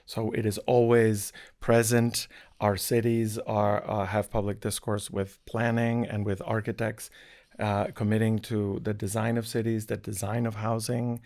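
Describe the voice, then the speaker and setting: A middle-aged man from Spain, a professor talking thoughtfully in an interview, often pausing and restarting his sentences. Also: lowish voice, little pitch movement, a little mellow. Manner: thoughtful, halting, frequent restarts. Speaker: architecture professor, guest. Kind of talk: casual interview conversation.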